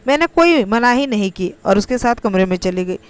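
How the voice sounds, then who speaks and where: Woman telling an emotional story in first person, really clean smooth voice, medium pitch. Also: lively intonation, normal speed, no room sound. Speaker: story narrator.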